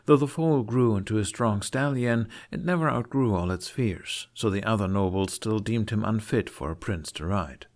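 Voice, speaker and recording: Low-voiced man narrating a story. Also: normal speed, hardly any pauses, darkish tone. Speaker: fan fiction narrator. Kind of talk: expressive scripted audiobook narration.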